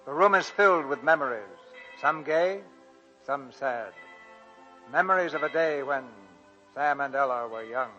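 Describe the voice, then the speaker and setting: A man's voice: medium pitch, lively intonation, a little slow, pushed and bright. A man hosting and narrating a radio drama in formal, scripted 1940s style. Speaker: host and narrator. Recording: dull old radio, music underneath.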